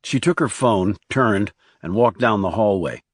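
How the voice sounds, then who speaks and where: A man's voice: lowish voice, unhurried, dry recording, clean studio sound. A man reading scripted thriller narration as an audiobook narrator.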